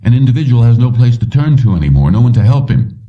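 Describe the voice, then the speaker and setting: A man's voice: mid-range voice, sing-song, fast, pitched above the performer's own voice, natural voice. A man voicing a determined, defiant male mechanic in an audiobook, firm and insistent, on a dull recording. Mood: pleading. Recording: muffled, distant-sounding dull recording.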